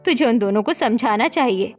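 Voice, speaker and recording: A woman playing an anxious, stern middle-aged mother in an animated family melodrama, speaking emphatically. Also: on the high side, fairly quick, soft background music. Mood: concerned.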